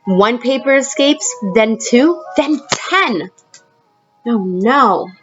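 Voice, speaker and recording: Woman teacher doing a storybook read aloud for kids, slow pace, faint music behind. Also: fairly high pitch, dull audio. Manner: expressive, pauses to prompt young listeners. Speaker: teacher reading a picture book aloud.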